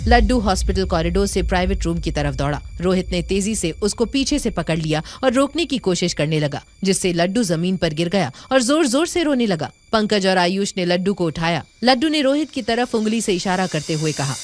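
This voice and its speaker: A woman's voice: mid-range voice, lively intonation, a little fast, hardly any pauses. A woman reading scripted narration for an audio drama.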